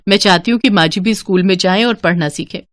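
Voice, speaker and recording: A woman's voice: mid-range voice, quick pace, natural voice. A woman voicing a kind, dutiful young daughter-in-law in a story, polite and solicitous. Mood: eager.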